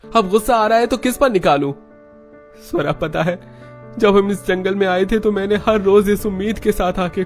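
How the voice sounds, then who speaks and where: A man playing a bitter, wronged husband in an animated family melodrama. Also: sits high, vocal fry. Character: bitter, wronged young husband and struggling farmer. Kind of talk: animated Hindi family story acting.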